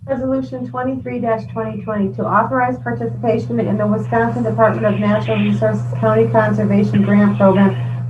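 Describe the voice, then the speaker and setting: A woman acting as county clerk, formally reading resolutions and lists of names and figures aloud. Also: medium pitch. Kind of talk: reading official records aloud. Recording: tinny phone-like audio, distant, noisy.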